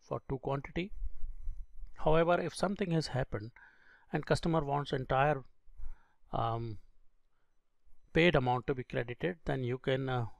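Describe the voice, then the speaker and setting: A man giving a step-by-step software tutorial as an instructor, speaking in a halting, explanatory way into a close microphone. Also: medium pitch, gravelly, no echo. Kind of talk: screen-recorded software tutorial.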